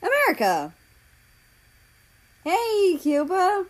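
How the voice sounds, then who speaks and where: A woman's voice: very high-pitched, huge pitch swings, drawn-out words, pitched above the performer's own voice, exaggerated voice. A woman voicing a sarcastic, slangy teenage football player in a story reading. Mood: awkward greeting. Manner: casual, grumbling, slangy. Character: cheeky, sarcastic teenage boy on a school football team.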